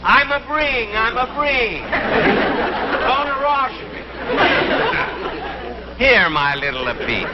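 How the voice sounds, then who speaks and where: A harried comic Italian papa, speaking exaggerated broken English in a mock accent. His voice sits high and jumps around in pitch. It is an old, dull TV recording with clear noise and audience. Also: very animated, slow, long pauses, a loud crowd, heavily acted. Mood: exasperated. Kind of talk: broad television sketch comedy acting. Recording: muffled noisy 1960s TV audio, audience.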